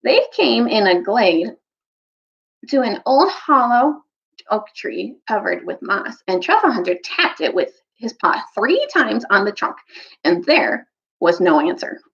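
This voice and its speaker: A woman's voice: medium pitch, sing-song, unhurried, gravelly. A woman reading a story aloud expressively, on a slightly muffled recording. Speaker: storytime reader. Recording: slightly dull, muffled audio.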